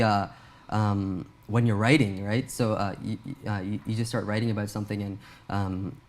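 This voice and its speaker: A young man born in Pakistan, an a cappella singer and producer, answering interview questions in a hesitant way with many fillers and restarts. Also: fairly low pitch.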